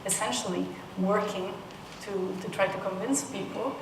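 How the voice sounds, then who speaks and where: A woman from Peru giving a conference talk, thoughtful, with frequent restarts and soft laughs. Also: mid-range voice, fairly even intonation, normal speed. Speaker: panel speaker. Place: conference room. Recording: roomy, distant mic with noise.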